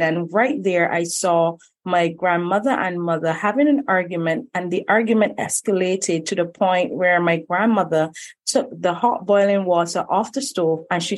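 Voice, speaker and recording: Earnest woman, lowish voice. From Barbados. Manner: earnest, reflective, with frequent "you know". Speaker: guest, life purpose coach. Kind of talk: personal storytelling in a podcast interview.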